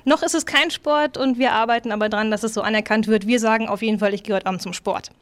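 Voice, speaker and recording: A woman's voice: a little high, fairly even intonation, fast. Chatty, easygoing woman in her twenties. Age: 20s. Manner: chatty, self-deprecating, easygoing. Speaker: table football player. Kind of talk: interview statements.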